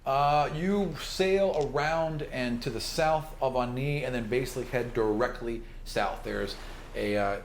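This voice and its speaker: A man's voice: mid-range voice, measured pace. A man narrating a tabletop roleplaying game as its game master, improvising the descriptions as he goes. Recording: roomy sound with faint background noise.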